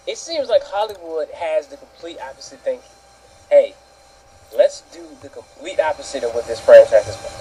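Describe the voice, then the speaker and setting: A man's voice: on the high side, mellow, slight background noise, low-quality recording. A man co-hosting a casual talk, giving blunt, exasperated opinions in slangy language. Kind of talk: casual ranting commentary.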